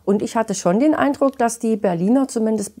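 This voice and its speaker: A woman's voice: fairly high pitch, brisk, dark tone. A woman from Saxony hosting an interview, speaking conversationally with attentive affirming murmurs, on a lapel mic in a studio. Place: studio set with brick wall.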